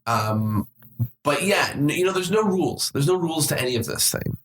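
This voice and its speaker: A man in his twenties co-hosts a casual podcast. He talks in an informal, rambling, self-reflective way. The sound is roomy, with clear echo around his voice. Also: fairly high pitch, animated, moderate pace, a bit bright, slight background noise. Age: 20s. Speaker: podcast host.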